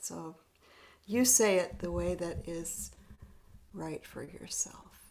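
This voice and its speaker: Gentle woman guiding meditation over a video call; very slow, soft, unhurried. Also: lowish voice, vocal fry. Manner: soft-spoken, often half-whispered; gentle, unhurried, laughs lightly. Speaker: meditation leader. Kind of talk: guided spiritual practice.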